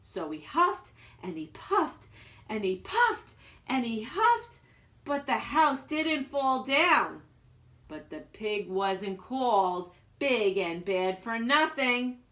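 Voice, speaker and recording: A woman's voice: fairly high pitch, very animated, takes it slowly, fairly bright tone. A woman reading a children's storybook aloud with dramatic emphasis, like a school principal addressing young listeners.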